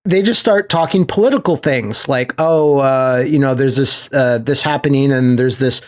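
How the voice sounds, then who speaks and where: A man's voice: mid-range voice, moderate pace. A man hosting a podcast, chatting casually in an enthusiastic, rambling way. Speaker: podcast host.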